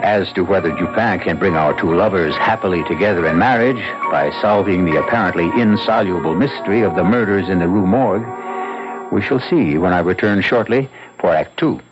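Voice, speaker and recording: A man with a deep voice narrates an old radio mystery in a measured, theatrical way. The recording is a little dull, with music behind him. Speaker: radio drama host and narrator. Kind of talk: scripted 1970s radio drama narration.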